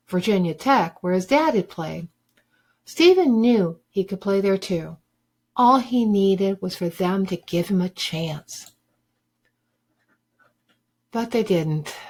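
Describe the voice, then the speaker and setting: A woman reading a children's book aloud like a teacher, with deliberate, emphatic phrasing for young listeners. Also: mid-range voice, darkish tone. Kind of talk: expressive storybook read-aloud.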